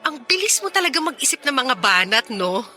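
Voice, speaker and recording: A woman's voice: high voice, very animated, brisk, bright, forceful tone, faint music behind, exaggerated voice. An actress playing a wistful young woman in a Tagalog radio drama, teasing and conversational as she talks with an old flame. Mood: playful, coy. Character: Mela, wistful young woman reunited with her ex.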